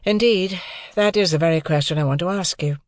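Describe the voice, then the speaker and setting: A woman voicing an earnest, wretched young gentleman in love in an audiobook, pleading and insistent. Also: lowish voice, a little fast, no echo, clean studio sound, voice pushed up, close to an everyday voice.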